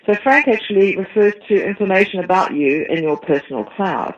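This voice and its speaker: A woman with a New Zealand accent, a tech company CEO, explaining her business at length as an interview guest. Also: on the low side. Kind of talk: interview answers. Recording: dull lo-fi line.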